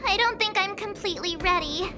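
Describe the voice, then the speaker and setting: A woman's voice: very high voice, a little fast. An actress playing a nervous, chatty girl, a beginner swordswoman about to compete, in a game cutscene. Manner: anxious, chatty and excitable. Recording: game audio with music behind.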